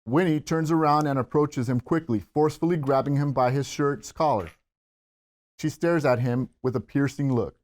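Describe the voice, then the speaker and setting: A man's voice: medium pitch, normal speed, darkish tone. A man hosting a table read, welcoming the group and narrating screenplay stage directions.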